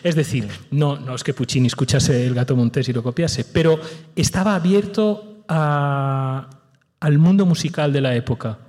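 A man's voice: on the high side, normal speed, a little mellow. A man serving as music director on a post-show panel, speaking thoughtfully, with frequent hesitant restarts. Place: theater stage or hall. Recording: reverberant hall.

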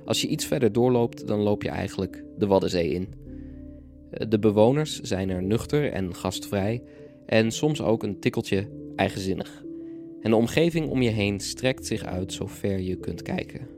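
A man's voice: lowish voice, expressive, moderate pace. A man reading a scripted introduction as the presenter of an audio drama podcast. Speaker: podcast presenter from a theatre collective. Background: guitar music.

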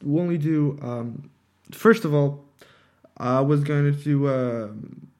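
A nineteen-year-old man, a native Portuguese speaker with a Portuguese accent, hosting an unscripted personal podcast in a halting, hesitant way, often searching for words. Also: on the high side, darkish tone. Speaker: podcast host.

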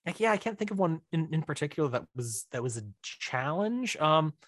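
A man with a slightly high voice talks casually as a guest in an interview. He is animated and rambling, often doubling back to rephrase himself. The sound is close and dry. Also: fairly quick, no room sound. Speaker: writer-director of audio dramas, podcast guest.

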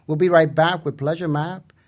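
A man's voice: a little high, steady tone, moderate pace, lo-fi sound. A man hosting a casual radio discussion, talking in an earnest, conversational way. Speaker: radio show host.

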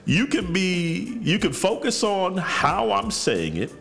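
Podcast guest: unhurried deep-voiced man, frank, swears freely. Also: very animated, gravelly, fairly bright tone, faint music behind. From New York. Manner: direct, blunt, swears freely. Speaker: guest, counselor. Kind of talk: candid podcast conversation.

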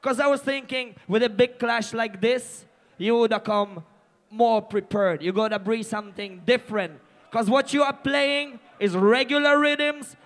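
A man from Poland working as a soundclash MC, talking in a defiant, boastful, sweary way with Jamaican patois phrases over a live sound system. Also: very high voice. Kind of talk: combative soundclash stage talk. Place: soundclash venue, on stage. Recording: loud live sound system, music behind.

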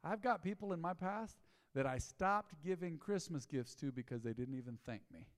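A man, preaching a sermon in a folksy storytelling way, dropping to low asides and rising to loud emphatic bursts. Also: animated, normal speed, in a large hall. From Texas. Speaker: pastor. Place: church sanctuary.

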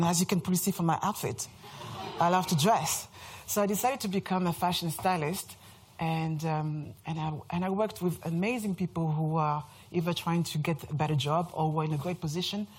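A woman in her thirties with a French accent speaks to a live audience in an event room. Her voice sits a little low and has a husky edge. She talks in a chatty, self-mocking way, joking at her own expense as she presents. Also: brisk. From France. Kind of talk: lively presentation talk.

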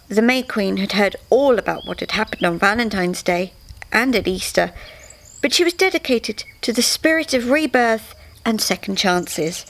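Animated woman storyteller, highish voice. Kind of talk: expressive scripted comic story narration. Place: small theatre, live reading. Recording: faint noise, live theatre reading.

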